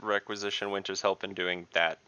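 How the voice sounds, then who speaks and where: A man's voice: sits low, little pitch movement, fairly quick, bright, forceful tone, smooth. A man chatting casually as a player in an online tabletop game.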